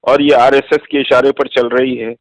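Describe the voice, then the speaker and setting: A man's voice: mid-range voice, flat delivery, moderate pace, no room sound. A man with a Hyderabadi accent on a recorded phone call, defending himself insistently and repeating phrases for emphasis. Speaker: political activist being questioned. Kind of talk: defensive explanation in a phone conversation. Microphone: telephone.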